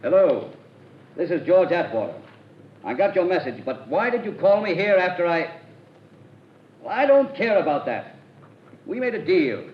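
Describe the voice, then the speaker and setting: A man's voice: big pitch swings, moderate pace, pauses often. A man playing a curt, defensive rich young man talking on a telephone in an old radio drama. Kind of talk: 1940s radio acting. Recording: dull, roomy old radio recording with faint rumble.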